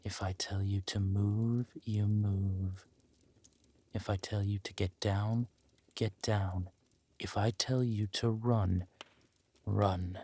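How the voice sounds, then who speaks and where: A man playing a menacing, possessive villain warlord and protective lover in a scripted roleplay audio drama, speaking deliberately and commandingly, dropping into breathy murmurs. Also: fairly low pitch, little pitch movement, warm tone, exaggerated voice. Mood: resigned, commanding.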